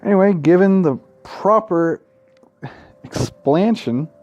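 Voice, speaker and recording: Guy reading a visual novel out loud on his gaming vid, slowish, kinda high but mellow, casual n irreverent. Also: expressive, dull audio. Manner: irreverent, sweary heckling between read passages. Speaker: gaming video host.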